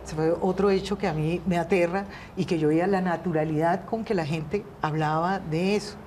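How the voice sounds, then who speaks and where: Mellow-voiced woman filmmaker on a panel. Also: on the low side, steady tone, moderate pace, a little hiss or hum. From Colombia, raised in Venezuela. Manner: conversational, with frequent fillers. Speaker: filmmaker guest. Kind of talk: studio panel discussion.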